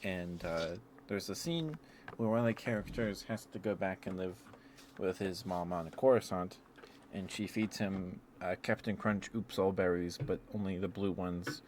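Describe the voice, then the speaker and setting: A man's voice: sits low, normal speed, darkish tone, slight background noise. A man chatting in a meandering, casual way as he hosts an ASMR livestream. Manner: meandering, often chuckling to himself. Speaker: ASMR streamer, host. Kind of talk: rambling ASMR livestream chat.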